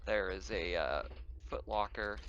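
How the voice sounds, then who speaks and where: A man casually narrating a tabletop roleplaying game as game master, pausing often as he describes things. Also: lowish voice, fairly bright tone, slight background noise. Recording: dull, muffled voice track.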